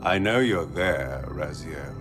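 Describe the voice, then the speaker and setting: A man playing an imperious, philosophical ancient vampire lord in a video game, speaking in measured, ornate, theatrical phrases. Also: a bass voice, expressive, heavily acted. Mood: sardonic, warning. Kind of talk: scripted dramatic video game dialogue. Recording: background music under the voice.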